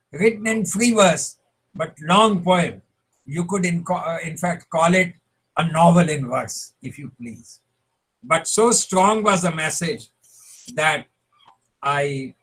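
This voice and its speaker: A man with an Indian English accent, a Punjabi speaker and translator, giving a measured, humble talk with frequent restarts over a video call. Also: a little high, a bit bright, low-quality recording. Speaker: translator speaking at a web conference. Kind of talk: reflective conference talk.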